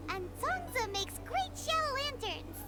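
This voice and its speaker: An actress voicing a tiny, cheeky, childlike floating companion in a video game. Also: female voice, very high voice, very animated, slow pace, loud music behind the voice, full character voice. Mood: earnest.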